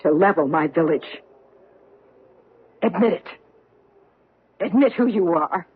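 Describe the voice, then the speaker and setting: An actress in a 1970s radio drama playing a woman survivor who calmly accuses a war criminal, in an intense, measured way. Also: lowish voice, breathy edge, exaggerated voice. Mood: accusing. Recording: narrow tinny 1970s radio broadcast.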